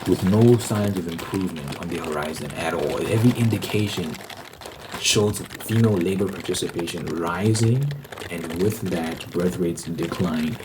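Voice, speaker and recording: A man's voice: sits low, normal speed. A man hosting an ASMR video, rambling casually in an informal monologue and repeating phrases for emphasis. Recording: clear typing and crinkling noise.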